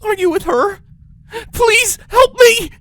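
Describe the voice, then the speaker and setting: Man putting on a high-pitched frightened captive girl. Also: expressive, slow pace, a bit bright, dry recording, full character voice. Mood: desperate. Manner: pleading, urgent. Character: frightened captive young human woman pleading for help.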